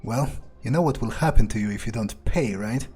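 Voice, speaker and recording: A man's voice: medium pitch, brisk, darkish tone, husky, natural voice. Man playing a cocky, menacing vampire thug. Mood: cool menace. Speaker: let's player. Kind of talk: in-character game playthrough narration.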